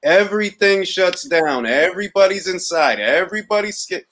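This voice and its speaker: Relaxed talkative guy hosting on a webcam. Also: high voice, normal speed. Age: 30s. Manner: loose, chatty, says "bro". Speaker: interview host. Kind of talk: casual video-call interview, mixing Spanish and English. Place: small room indoors. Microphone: laptop webcam on video call.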